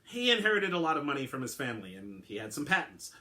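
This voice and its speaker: A formal, slightly strange male clone, as a man puts him on, raised a little above the reader's usual pitch, explaining himself patiently and in detail. His voice swings widely and carries a bright, forceful edge. He keeps catching and correcting his own words. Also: sing-song, quick pace. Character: eccentric male clone, earnest, oddly formal.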